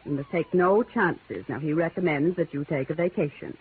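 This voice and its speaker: An actress playing a prim, domineering wife who speaks formally and insistently, in old-time radio drama style. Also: female voice, deep voice, animated, moderate pace. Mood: firm, insistent. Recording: dull, hissy vintage radio recording.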